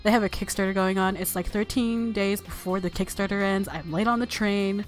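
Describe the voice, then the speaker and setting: A woman's voice: mid-range voice, fairly even intonation, brisk. A woman hosting a casual let's play, rambling and self-deprecating, sometimes reading game text aloud. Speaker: gaming video host. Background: soft game music.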